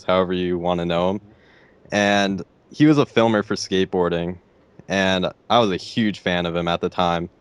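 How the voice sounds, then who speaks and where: A teenage boy with a deep voice, answering interview questions hesitantly and filling pauses with "like" and "I don't know.". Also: clean tone, no room sound. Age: teens. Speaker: interviewee, gamer.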